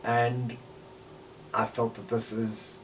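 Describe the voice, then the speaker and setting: A South African man in his forties reflecting on personal experience in a halting way, with repeated words and pauses. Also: mid-range voice, completely flat delivery. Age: 40s. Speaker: podcaster and voice actor. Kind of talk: personal reflection, interview-style talk. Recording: distant mic with faint background noise.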